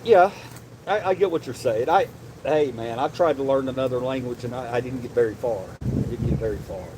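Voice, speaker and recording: A man hosting a casual livestream and reading chat, animated and loose, swearing casually and calling people bro. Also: mid-range voice, brisk, background noise. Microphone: desk mic, sometimes leaning away.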